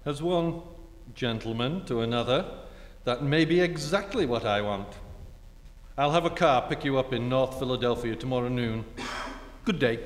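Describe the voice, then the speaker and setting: An actor playing a cool, sly, gentlemanly ex-husband in a stage re-enactment of an old radio comedy. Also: male voice, medium pitch, moderate pace, long pauses, a little hiss or hum, lightly acted. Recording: echoey reverberant hall.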